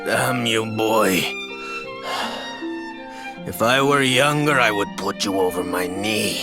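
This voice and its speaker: A man's voice: on the low side, slow. An actor playing a proud, stern old knight with a Spanish accent, scolding imperiously and dramatically as a hot-tempered father in a video game cutscene. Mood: furious. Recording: music behind the voice.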